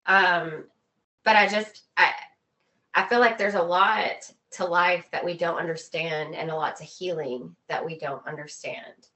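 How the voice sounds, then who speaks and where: A woman with a fairly low voice talks casually as an interview guest. She explains things earnestly, pausing haltingly and repeating phrases to drive them home. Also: steady tone, pushed and bright.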